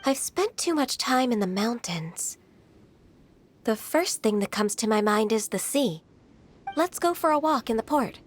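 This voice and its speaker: A woman voicing a gentle, earnest, formal young female immortal in training in a video game, with soft, polite, measured and hesitant delivery. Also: a little high, expressive, a little hiss or hum. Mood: wistful.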